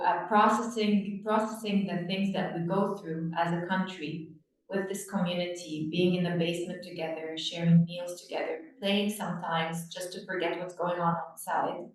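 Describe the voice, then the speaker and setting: A woman in her thirties from Lebanon, a play therapist, speaking softly and reflectively with many pauses in a podcast interview. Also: lowish voice. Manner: soft-spoken, reflective, with many pauses and fillers. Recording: echoey room, distant from mic.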